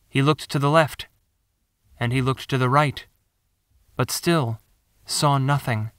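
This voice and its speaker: A man reading his own story as scripted dramatic narration, measured and theatrical. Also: a little high, a bit bright, clear, resonant tone, no echo, clean studio sound. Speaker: author narrating own audiobook.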